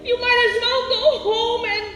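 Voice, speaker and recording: A woman's voice: extremely high pitch, little pitch movement, a little hiss or hum. An actress playing a distraught, hysterical woman wailing of doom in a radio drama. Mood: hysterical, despairing. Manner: wailing, drawn-out cries. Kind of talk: radio docudrama acting. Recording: echoey hall, loud music behind.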